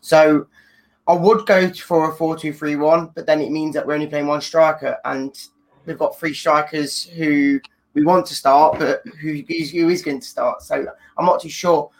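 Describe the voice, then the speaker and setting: A man with a gravelly, creaky voice and a slightly higher pitch talks on a casual video call. He thinks aloud and restarts his sentences as he goes. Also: fairly even intonation, normal speed, poor audio. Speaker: guest fan.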